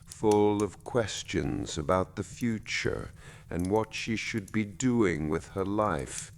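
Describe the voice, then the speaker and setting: A man reading a bedtime story in a gentle, measured, soothing way with deliberate pauses. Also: fairly low pitch, slight background noise. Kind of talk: slow soothing scripted narration.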